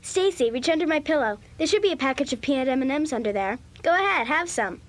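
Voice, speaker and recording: A woman's voice: high voice, animated, quick pace. A woman playing a breezy, confident American schoolgirl in a children's audio drama, chatty and emphatic. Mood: chatty, confiding.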